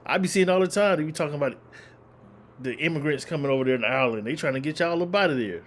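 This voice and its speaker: A man's voice: on the high side, fast talker. A man hosting a reaction video, talking casually and incredulously, often repeating himself.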